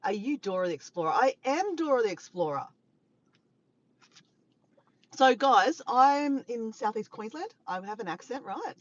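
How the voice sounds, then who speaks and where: A woman's voice: a little high, big pitch swings, unhurried, pauses often, highs cut off. An Australian woman live-streaming in casual chat, rambling and laughing as she reads out viewers' comments. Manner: chatty, rambling, laughs often, greets viewers.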